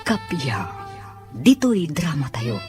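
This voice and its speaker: A man's voice: on the high side, wildly swooping pitch, slow pace. A man with a Filipino accent reading a scripted introduction as narrator of a drama series. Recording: narration under loud music.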